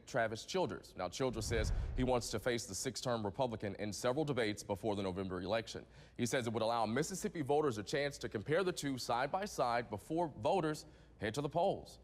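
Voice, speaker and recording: A male news anchor with an American accent reads from a script for a morning TV broadcast. His pitch sits in the middle of the male range, and a long reverb trails his voice. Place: TV news studio.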